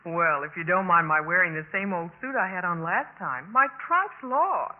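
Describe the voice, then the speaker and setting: An actress playing an eager, spirited young sorority pledge in a 1950s radio drama. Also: female voice, lowish voice, expressive, moderate pace, lightly acted. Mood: playful. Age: young adult. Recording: muffled, noisy 1950s radio broadcast.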